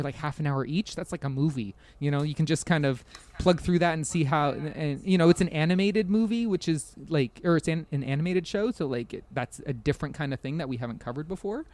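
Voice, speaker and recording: A man's voice: a little high, darkish tone. A man chatting casually as a podcast guest, rambling and self-deprecating, often hedging and chuckling as he talks.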